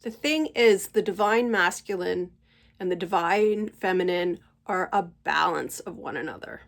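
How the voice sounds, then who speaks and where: A woman in her forties or fifties hosting her own channel, talking slowly and thoughtfully at home while stuffy from a cold. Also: fairly low pitch, creaky. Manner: gentle, unhurried, thoughtful pauses. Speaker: channel host. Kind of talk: slow reflective spiritual monologue.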